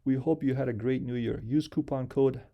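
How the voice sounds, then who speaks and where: A man's voice: mid-range voice, brisk, creaky, dull audio. A man giving a casual, unscripted screen-share tutorial in simple, repetitive step-by-step instructions. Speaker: software tutorial presenter.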